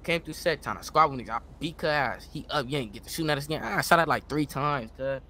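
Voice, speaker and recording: A man's voice: a little high, fast, bright, forceful tone, a little hiss or hum. An American man improvising gangster roleplay in a game, talking in profane street slang with repeated check-in phrases. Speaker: online roleplay player.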